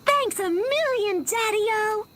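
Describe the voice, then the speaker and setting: Very high-pitched 1950s teen girl. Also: takes it slowly, a bit bright, slight background noise, exaggerated voice. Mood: swooning. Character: swooning restless 1950s small-town teenage girl. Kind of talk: video game cutscene acting.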